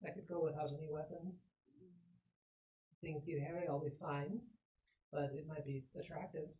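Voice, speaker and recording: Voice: medium pitch, fairly even intonation, normal speed, lo-fi sound. A tabletop game player talking casually, partly in character, while discussing plans.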